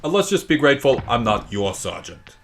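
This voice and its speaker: An easygoing army sergeant acted by a male reader, friendly and steady with lively intonation. He sounds like an old comrade in an audio war story. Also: mid-range voice, a little fast, creaky, a little hiss or hum, pitched above the performer's own voice. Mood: amused, friendly.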